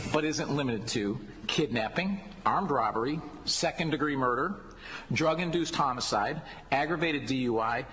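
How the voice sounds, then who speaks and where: Man, American accent, formal prepared warning, grave and deliberate, long hall reverb, noisy played-back clip. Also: mid-range voice, fairly bright tone. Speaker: law enforcement official.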